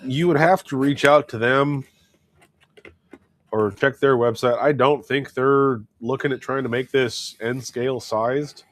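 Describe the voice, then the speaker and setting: A man's voice: medium pitch, steady tone, measured pace, a bit bright. A man co-hosting a casual hobby chat, sounding plugged up from a head cold and rambling with many fillers and restarts. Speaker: co-host. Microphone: wireless clip-on mic.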